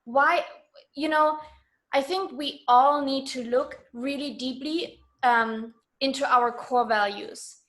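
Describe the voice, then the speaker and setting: Emphatic woman with a high-pitched voice. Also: slow, fairly bright tone. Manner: emphatic, self-assured, informal. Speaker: interview guest, entrepreneur. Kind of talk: casual conversational interview.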